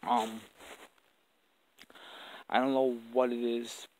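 A man's voice: medium pitch, flat delivery, takes it slowly, slight background noise, rough recording. Blunt man filming a night camping vlog. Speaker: camper filming the outing. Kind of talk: casual camping vlog talk, swearing. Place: outdoors in woods at night. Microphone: handheld camera he is holding.

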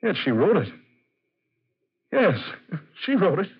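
A man's voice: high-pitched, sing-song, slow, husky, full character voice. An actor in a 1940s radio drama playing a hot-tempered man spurned by the woman he loves. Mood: vengeful rage. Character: jilted, hot-tempered, successful man in love. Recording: muffled old 1940s radio recording.

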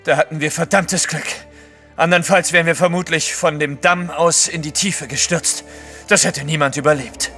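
Knapper Kaufmann mit heller Stimme. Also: male voice, fairly high pitch, steady tone, moderate pace, sharp tone, faint music behind. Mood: firm. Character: blunt, proud Russian merchant travelling east. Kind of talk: audio drama acting.

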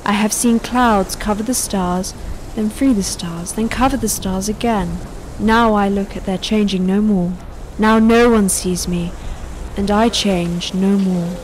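An actress playing a weary yet defiant elderly woman, delivering a measured, poetic monologue in a literary radio drama. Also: mid-range voice, steady tone, darkish tone, a little hiss or hum, close to an everyday voice, rough recording. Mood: weary, resolute.

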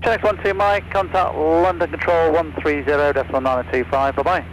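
A man's voice: fairly high pitch, steady tone, a little fast, rough recording. A man speaking as an air traffic controller in clipped phraseology over aircraft radio. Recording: aircraft radio with faint noise.

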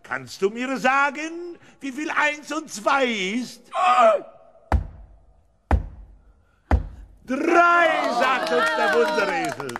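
An excitable circus announcer in a kids radio play, super high, swoops around, pompous showman patter. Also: slow, a crowd in the background, noisy background, exaggerated voice. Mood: teasing showman. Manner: grandiose, theatrical showman's patter. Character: excitable male circus ringmaster announcing grand attractions. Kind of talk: 1970s German children's radio play acting.

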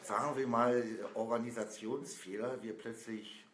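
A man's voice: mid-range voice, steady tone, a little slow. A man chatting casually on a live stream from a sofa, talking in loose, rambling sentences with many fillers. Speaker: band member. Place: small indoor room, seated on a sofa. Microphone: camera a few feet away. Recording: roomy with faint noise.

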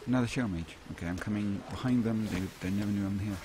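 A man's voice: fairly low pitch, quick pace, noisy background. A man casually chatting and joking while playing a co-op game with a friend. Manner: playful, jokey, lively exclamations. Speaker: gaming channel host. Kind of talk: casual co-op gameplay chat.

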